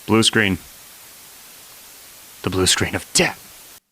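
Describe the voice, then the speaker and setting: A man's voice: low voice, drawn-out words, bright, forceful tone, slightly rough, poor audio. A man hosting a reaction video, talking casually in a playful, joking, mock-scolding manner with some swearing. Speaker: host reacting to horror videos.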